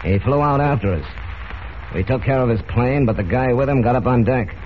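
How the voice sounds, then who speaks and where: A man's voice: mid-range voice, a little fast, lightly acted. An actor playing a jittery, crooked male radio operator henchman with slangy talk in an old radio drama. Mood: uneasy. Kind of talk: 1940s radio drama acting. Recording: dull, noisy old radio recording.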